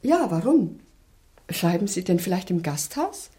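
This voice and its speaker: Radio drama role: a brisk, chatty town hall secretary, animated intonation, echoey room. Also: female voice, on the low side. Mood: brisk, welcoming. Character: brisk, chatty small-town mayor's secretary, politely efficient. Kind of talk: German radio play acting. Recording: roomy, echoing interior.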